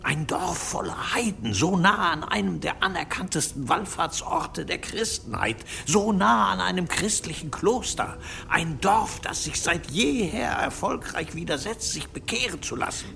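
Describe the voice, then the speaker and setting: An actor playing a stern, impatient medieval bishop in an audio drama, commanding and reproachful in manner. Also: male voice, high voice, sing-song, a little slow, vocal fry, pushed and bright, a little hiss or hum, heavily acted. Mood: bitter. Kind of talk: German audio drama acting.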